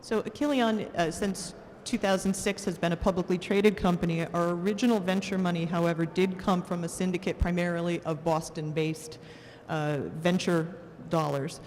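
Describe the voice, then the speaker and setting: A woman, a biotech executive, describing her company in a measured, formal way on a panel. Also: on the low side, steady tone, a little mellow. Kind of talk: panel introduction. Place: conference hall. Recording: long hall reverb, faint room noise.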